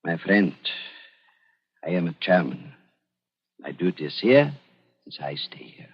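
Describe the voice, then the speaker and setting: An actor playing a scheming older German doctor who stammers and dodges, with a German accent, in a 1940s radio drama. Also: male voice, low voice, sing-song, unhurried, husky, heavily acted. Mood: sly. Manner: stammering, evasive, panics. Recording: dull 1940s radio broadcast.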